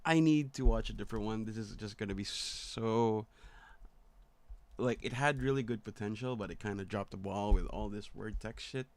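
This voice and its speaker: A man's voice: fairly low pitch, moderate pace, slight background noise. A young man streaming casual, slangy reactions to videos, muttering asides as he watches. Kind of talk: casual livestream reaction chat.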